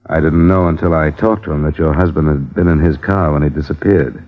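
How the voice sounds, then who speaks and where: An actor playing a cool, probing American insurance investigator in an old-time radio drama, clipped and matter-of-fact. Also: male voice, extremely low pitch, a little fast. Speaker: lead actor and narrator. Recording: muffled vintage radio, music behind.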